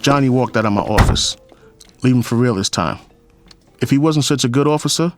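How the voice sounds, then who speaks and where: A man's voice: medium pitch, lightly acted. A man playing a lonely, wry, middle-aged police captain who narrates his story in an audio drama. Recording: faint music behind the voice.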